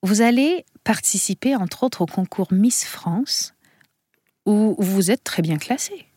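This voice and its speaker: A woman's voice: mid-range voice, expressive, slow pace, mellow, husky, no echo, clean studio sound. A woman hosting a radio interview, asking guiding questions in a polite, complimentary way. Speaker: radio host.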